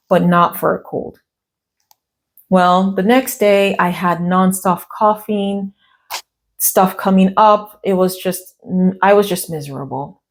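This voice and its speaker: A woman's voice: medium pitch, creaky. An American woman vlogging casually, telling a story from her notes with quoted asides, her voice still worn from a cough. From United States. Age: middle-aged. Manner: chatty, reading from notes, quoting others in asides. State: recovering from a cough and lost voice.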